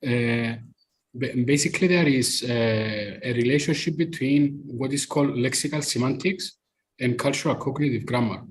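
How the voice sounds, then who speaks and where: A man with a Greek accent, a native Greek speaker, explaining at length in a live online discussion with many pauses and fillers. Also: mid-range voice, slight background noise. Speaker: discussion participant. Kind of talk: live podcast group discussion.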